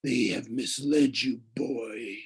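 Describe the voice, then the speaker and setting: A man voicing an ancient, cold, evil presence from a pit, speaking slowly in a hushed, menacing, heavy way for a storybook. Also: mid-range voice, fairly even intonation, vocal fry, breathy edge, heavily acted. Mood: tempting.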